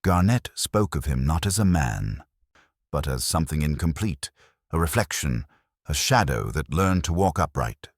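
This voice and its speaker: A man's voice: rumbling low, very animated, moderate pace, no echo, clean studio sound. A man reading a scripted detective mystery as a dramatic audiobook narrator.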